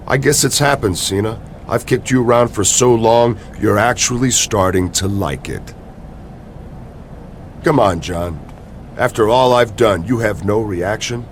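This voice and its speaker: A man's voice: on the low side, a little hiss or hum, close to an everyday voice. A man playing an arrogant, scheming wrestling champion who taunts and mocks theatrically in a video game cutscene. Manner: smug, mocking, theatrical.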